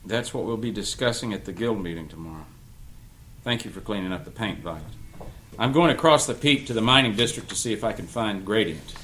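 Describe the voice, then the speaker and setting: A middle-aged man playing a protective, firm and caring mentor in an audio drama read-through, with an emphatic, deliberate delivery. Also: fairly low pitch, animated, fairly bright tone, slight background noise. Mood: casual, fatherly. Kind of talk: audio drama cast reading.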